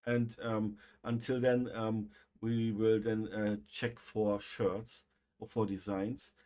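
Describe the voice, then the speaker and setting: Halting man with a German accent. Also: fairly low pitch, level tone, warm tone. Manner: halting, many fillers and restarts. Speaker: livestream host. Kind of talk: casual livestream sign-off.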